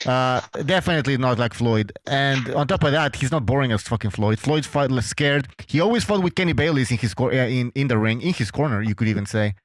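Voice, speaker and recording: A man's voice: mid-range voice, fairly quick, hardly any pauses, no echo. Dismissive, profane male panelist, dry close mic. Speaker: podcast panelist. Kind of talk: heated casual boxing debate.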